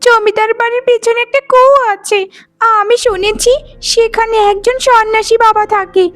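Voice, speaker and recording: A woman voicing a jealous, spiteful young wife in a melodramatic cartoon, whining and scolding theatrically. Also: very high-pitched. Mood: pleading, sly. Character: jealous, scheming young wife, twin sister, whiny and spiteful. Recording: loud music bed under the voice.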